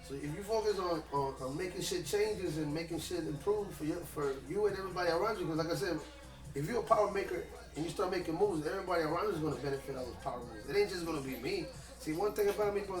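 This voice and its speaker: A man who speaks as his rattles off his story at a fast clip, loose and emphatic, full of slang and swearing. His voice sits a bit high for a man. He sounds echoey and set back from the mic, with music clearly playing behind him. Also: distant mic. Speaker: rapper. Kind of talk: casual interview chat.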